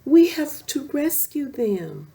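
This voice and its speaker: A woman's voice: sits high, big pitch swings, slow, mellow, pitched above the performer's own voice, exaggerated voice. Woman as young male rabbit, storybook character, eager, friendly, emphatic, swooping intonation. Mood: alarmed.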